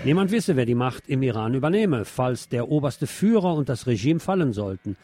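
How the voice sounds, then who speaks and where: A man's voice: mid-range voice, big pitch swings, moderate pace, over loud music. A man hosting a radio programme, reading news and press commentary aloud in a wry, sardonic manner.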